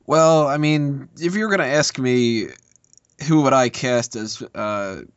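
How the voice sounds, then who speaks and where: A man's voice: mid-range voice, slow pace, clear, resonant tone, dry recording. Man chatting on slightly dull audio. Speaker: podcast panelist. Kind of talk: casual film chat.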